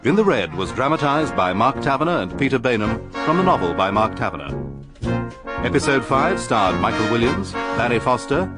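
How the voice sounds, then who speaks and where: A man's voice: mid-range voice, very animated, moderate pace. A man with an English accent reading scripted closing credits as a radio announcer. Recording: loud brass theme music behind.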